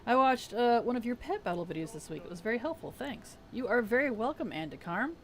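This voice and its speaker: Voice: medium pitch, expressive, quick pace. A game streamer chatting casually on a live stream, reading chat aloud and muttering while playing, with a voice worn weak and strained. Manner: soft-spoken, reads chat aloud and mutters to self.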